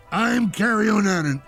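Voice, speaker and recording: Creaky and hoarse, a pompous male radio host. His florid, aggrieved comic monologue halts and swoops at a slightly high pitch. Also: fairly bright tone, heavily acted. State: hoarse, coughing.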